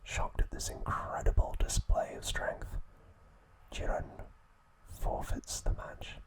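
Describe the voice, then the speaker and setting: A man narrating an ASMR recap in a soft, breathy whisper, with measured delivery in short phrases. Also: rough recording. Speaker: ASMR video host.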